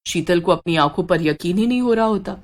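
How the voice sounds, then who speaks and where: A woman's voice: on the low side, fairly quick. A woman storyteller reading a scripted horror story.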